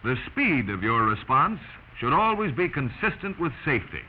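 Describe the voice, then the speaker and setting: A man's voice: medium pitch, very animated, moderate pace. An American man narrating a 1940s instructional film in an emphatic, instructive manner, addressing the listener directly. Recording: muffled, noisy 1940s film soundtrack.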